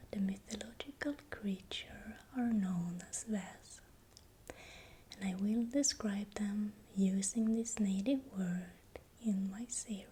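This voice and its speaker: A woman with a Swedish accent whispering breathily and deliberately in short, soft phrases, as the host of an ASMR video. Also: medium pitch, slight background noise. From Sweden. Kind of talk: whispered ASMR, explanatory narration.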